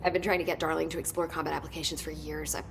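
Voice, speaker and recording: A woman's voice: level tone, very fast, gravelly. An actress playing an eager, precise, nerdy woman scientist in a video game. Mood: keen, excited. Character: eager, earnest woman scientist, brainy and intense. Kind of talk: naturalistic video game acting, technical explanation. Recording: faint rumbling background noise.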